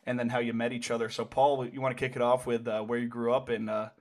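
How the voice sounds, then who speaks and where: A man's voice: medium pitch, flat delivery, fast talker. A laid-back man hosts a podcast, asking casual questions in a mid-range voice. His speech is slangy and easygoing. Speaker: podcast host. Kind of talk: casual interview.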